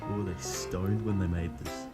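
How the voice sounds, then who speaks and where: Low-voiced man reading a fanfic. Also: fairly even intonation, moderate pace, loud music behind the voice. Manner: plain reading with slips and restarts. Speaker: fanfiction reader. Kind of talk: reading fanfiction aloud, then casual commentary.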